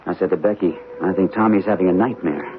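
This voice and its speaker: A man playing a deep-voiced steady husband. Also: fast talker, natural voice. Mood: earnest. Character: protective middle-aged husband and father. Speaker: homeowner. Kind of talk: 1970s radio drama acting. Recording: narrow-band old radio broadcast with hiss.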